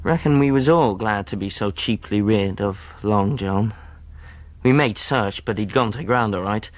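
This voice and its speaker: A man's voice: on the low side, unhurried, no echo, close to an everyday voice. An actor playing a reflective seafarer telling his adventure in rustic speech, as radio drama narration. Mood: haunted, reflective. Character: reflective sailor narrator recalling a youthful adventure.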